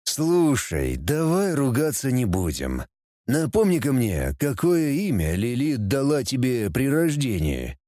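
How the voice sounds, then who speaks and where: A man's voice: mid-range voice, wildly swooping pitch, a little slow, dark tone, dry recording, clean studio sound. A man voicing an irritable devil king and father in a storybook reading, growling and grumbling in exasperation. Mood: grudging.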